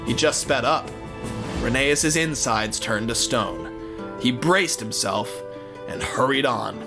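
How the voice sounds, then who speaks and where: A man's voice: mid-range voice, lively intonation, slow. A man narrating a scripted story in a suspenseful, theatrical way. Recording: music behind the voice.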